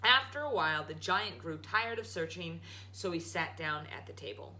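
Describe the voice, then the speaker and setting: Woman, children's storyteller, bright voice, highly animated, dramatic emphatic narration. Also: normal speed, low-quality recording. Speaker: storyteller, show host. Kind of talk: expressive children's story read-aloud.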